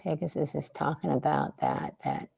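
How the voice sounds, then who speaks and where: A woman's voice: deep voice, wildly swooping pitch, normal speed, gravelly, warm tone, breathy edge. A woman from the San Francisco Bay Area chatting casually with viewers on a livestream while she paints in her home art studio, meandering between painting and answering comments. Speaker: livestream host and spiritual artist. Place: home art studio under daylight lamps.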